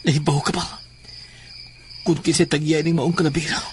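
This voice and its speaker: A man's voice: fairly high pitch, little pitch movement, normal speed, close to an everyday voice. A man acting as an intense, commanding character in a horror audio drama, speaking dramatically. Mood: ominous. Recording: noisy, insects chirping behind.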